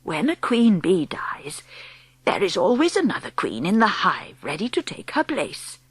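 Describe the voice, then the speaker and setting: A woman voicing a gentle, wise grandmother in her eighties, speaking slowly in a soft, whispery hush. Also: medium pitch, wildly swooping pitch, husky, no room sound, exaggerated voice. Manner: slow and hushed.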